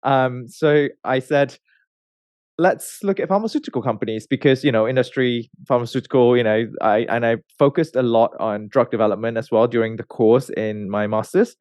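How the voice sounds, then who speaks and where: A man in his thirties from Malaysia, a research scientist telling his career story in a relaxed way, with many fillers and restarts. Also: mid-range voice, normal speed, no room sound, studio quality. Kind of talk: conversational interview answers.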